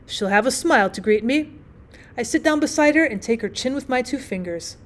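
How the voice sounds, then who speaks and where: A woman voicing a giddy, boastfully happy newlywed gentleman in an audiobook, tipsy, effusive and rambling. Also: fairly high pitch, expressive, brisk, natural voice. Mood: blissful, gushing. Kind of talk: acted audiobook storytelling.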